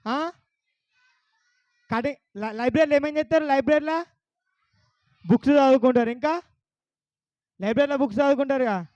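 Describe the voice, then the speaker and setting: A man's voice: very high voice, moderate pace, pauses often, a little mellow, dry recording, muffled recording. A man with a Telangana Telugu accent hosting a puppet show, coaxing a child audience with questions. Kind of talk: lively talk to a child audience.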